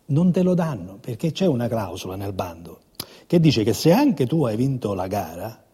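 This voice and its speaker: A resigned, cynical friend in a stage drama. His voice is very dark and breathy with animated pitch, confiding and pleading for caution. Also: normal speed. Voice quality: husky, breathy. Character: resigned, cautious male friend urging compromise.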